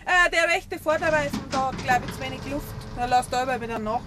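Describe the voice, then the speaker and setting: A woman's voice: high-pitched, fast, music in the background, close to an everyday voice. An actress playing a shrewd, guarded young Bavarian woman in a dialect radio play, speaking pointedly with small hesitations. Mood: polite, feigned casual.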